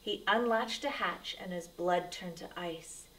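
A woman's voice: fairly low pitch, unhurried, fairly bright tone, far from the mic, slight background noise, low-quality recording. A woman reading a rhyming picture book aloud to children as a storyteller, with dramatic, emphatic delivery.

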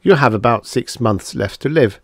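A man voicing a careful, serious doctor in an audiobook, speaking in a slow, measured manner. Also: medium pitch, lively intonation, dry recording, clean studio sound, voice pushed up, natural voice. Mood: grave, careful. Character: grave, careful doctor delivering hard news. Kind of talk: audiobook character dialogue.

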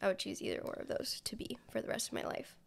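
Young woman co-host, twenties, slight fry, recovering from a cold, loose chatty delivery at a studio mic. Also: medium pitch, steady tone, fast talker. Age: 20s. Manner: chatty, laughs easily, trailing sentences. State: getting over a cold, dry throat. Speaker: podcast co-host. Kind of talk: casual chat. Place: small studio room with purple lights, indoors. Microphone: studio mic on a stand with headphones.

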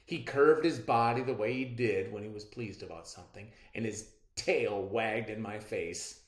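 A middle-aged man reading a storybook aloud in a dramatic, deliberate way. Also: fairly low pitch. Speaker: read-aloud narrator. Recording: roomy echo.

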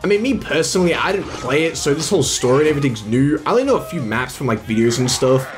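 A young man giving casual, excited, slangy live reaction commentary as a video host. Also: fairly high pitch, quick pace, over music. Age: young adult.

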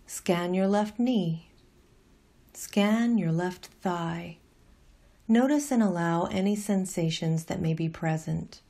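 Soft-edged, mellow woman guiding meditation. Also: fairly low pitch. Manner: gentle, unhurried, calm instruction. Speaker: meditation instructor. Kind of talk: guided meditation, scripted narration.

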